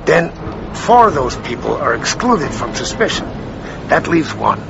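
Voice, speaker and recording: An actor in a radio drama playing a courteous police lieutenant who probes in a slyly indirect way. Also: male voice, a little high, big pitch swings, a little slow, creaky, highs cut off, background noise, exaggerated voice. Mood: slyly probing.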